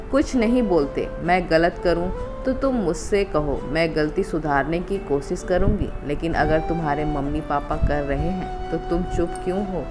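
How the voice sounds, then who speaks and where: Defiant young wife, played by a female storyteller: firm, wounded, emotional, lowish pitch, prominent music bed. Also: lightly acted. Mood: tearful protest. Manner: firm, indignant, emotional protesting. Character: defiant, hurt young married daughter-in-law standing up to in-laws.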